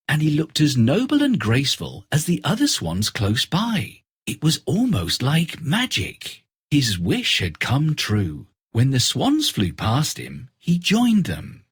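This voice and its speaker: A man narrating a scripted children's fairy tale in an expressive, deliberate storytelling manner. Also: medium pitch, slightly rough, dry recording, clean studio sound.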